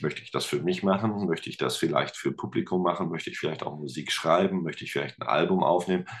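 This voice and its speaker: A man with an extremely low voice, speaking German in a relaxed interview. He is a teacher and musician in his thirties who thinks aloud, pausing on fillers and restarting sentences as he goes. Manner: thoughtful, many fillers and restarts. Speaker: teacher and musician, podcast guest. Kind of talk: casual interview talk.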